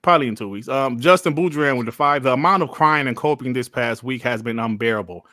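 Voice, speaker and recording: A man from Detroit hosting a casual podcast livestream, animated and joking as he reads out chat messages. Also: mid-range voice, fast talker, dry recording, studio quality. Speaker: podcast host.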